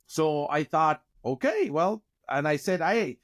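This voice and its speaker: A man's voice: on the high side, a little slow. A middle-aged man with a Chilean Spanish accent, telling stories as a guest on a video call from his office. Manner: animated, gesturing with hands, frequent restarts. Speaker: guest, company founder. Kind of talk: casual interview storytelling. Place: office with bookshelves, indoors.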